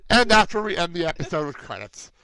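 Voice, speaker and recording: A man hosting a podcast, reacting in loud disbelief, exclaiming and laughing as he recaps a cartoon episode. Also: high-pitched, very animated, fast talker, a crowd in the background. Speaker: podcast host. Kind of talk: casual podcast chat.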